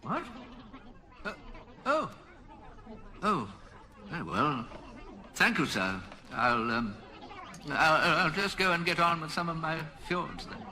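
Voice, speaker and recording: A man's voice: on the high side, very animated, slow pace, pauses often, sharp tone, a faint crowd, heavily acted. An actor playing a proud, fussy elderly craftsman in a radio comedy, hesitant, deferential and plaintive. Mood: crestfallen, deferential. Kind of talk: comic radio drama acting. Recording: long reverberant hall, noisy background.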